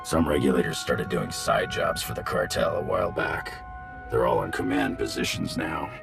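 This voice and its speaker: An actor playing a gruff, weary resistance officer in a video game, giving clipped, grim reports in a gravelly voice. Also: male voice, rumbling low, animated, normal speed, recorded from a distance, over music, exaggerated voice. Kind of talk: video game cutscene acting.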